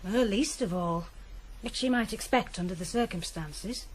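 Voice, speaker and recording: A woman's voice: mid-range voice, normal speed, breathy edge, natural voice, poor audio. An actress in a 1980s radio drama playing an unsentimental woman with a frank, matter-of-fact manner.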